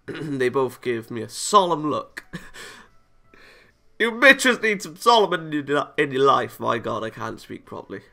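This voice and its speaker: Guy doing a lets play reading story text, very bright crackly voice, stumbles and jokes around, bit of music behind. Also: medium pitch, very animated, a little slow, creaky. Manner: stumbles over lines and reacts playfully. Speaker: video game let's player. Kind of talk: reading a visual novel aloud with casual asides.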